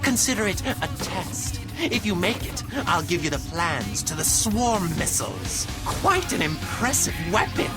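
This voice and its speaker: A woman's voice: on the low side, sing-song, unhurried, hardly any pauses, loud music behind the voice. A woman voicing a theatrical robot gambler in a video game, with grand, dramatic airs. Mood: scheming, businesslike. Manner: dramatic, declaiming. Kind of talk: scripted video game cutscene acting.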